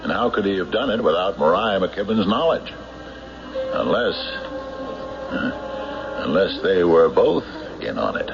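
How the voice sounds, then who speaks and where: A man playing a tough, skeptical sheriff in an old radio drama, measured, dry and probing, on a muffled recording. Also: deep voice, sing-song, vocal fry, over music, full character voice. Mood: brooding narration. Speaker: sheriff narrating his own investigation. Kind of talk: old-time radio drama acting and narration.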